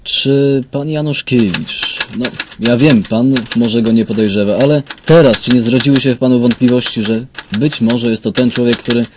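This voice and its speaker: An actor playing a methodical police commissioner questioning people in a measured, pressing way in a radio drama. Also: male voice, medium pitch, background noise, close to an everyday voice. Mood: probing, stern. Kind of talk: crime radio drama acting.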